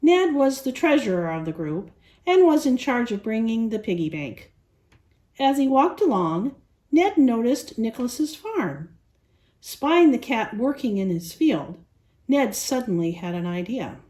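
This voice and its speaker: Animated woman reading to children. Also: on the high side, unhurried. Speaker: children's storyteller.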